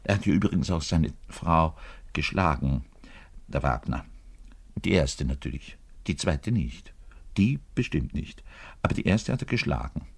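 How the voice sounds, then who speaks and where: An actor playing an embittered, self-pitying middle-aged double bassist in a rambling, theatrical radio monologue, pedantic and given to muttering and indignant declaiming, with a husky voice. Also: male voice, animated, a little slow, exaggerated voice. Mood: disdainful, muttering. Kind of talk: theatrical radio play monologue, confiding to a listener.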